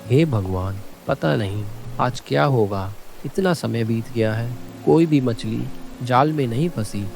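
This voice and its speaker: A man voicing a humble, kind and worried poor fisherman in an earnest way for an animated moral story. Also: mid-range voice, a little slow, gravelly, faint music behind.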